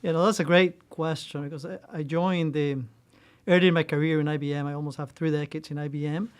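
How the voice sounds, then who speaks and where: Costa Rican man, earnest, pitched a bit high. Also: little pitch movement, fairly quick, clean tone. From Costa Rica. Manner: earnest, loose with restarts and "right?". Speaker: supply chain executive. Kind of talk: interview answers.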